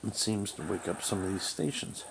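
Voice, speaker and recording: A man talking casually and haltingly as he rambles through a hobbyist's gadget troubleshooting demonstration. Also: low voice, steady tone, vocal fry. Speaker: radio hobbyist. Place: small room at home, indoors. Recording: noisy home recording with background hiss.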